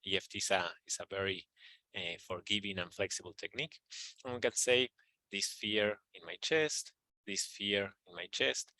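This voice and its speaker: A man teaching a tapping technique in a calm, repetitive guided manner, with fillers as he explains. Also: lowish voice, dry recording, low-quality recording. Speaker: EFT practitioner teaching tapping. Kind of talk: instructional video walkthrough.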